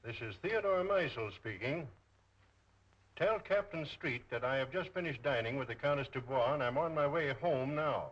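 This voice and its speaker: Actor as composed male villain, deliberate, medium pitch, vintage mystery film, muffled soundtrack. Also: expressive, natural voice. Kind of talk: 1930s mystery film acting. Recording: dull, lo-fi 1930s film soundtrack.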